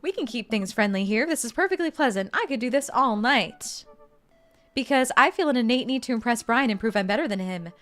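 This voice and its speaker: Young female streamer, chatty and bubbly, a bit high. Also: expressive, fairly quick, a bit bright, faint music behind. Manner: bubbly, chatty, reads game text aloud. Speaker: gaming streamer. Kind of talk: casual let's-play commentary.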